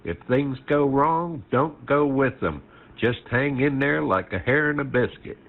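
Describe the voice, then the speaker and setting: An American man on an amateur radio net, reciting a folksy, homespun sign-off in a measured way. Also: medium pitch, vocal fry. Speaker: ham radio net participant. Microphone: internet-linked ham radio transmission. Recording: narrow phone-like radio link audio.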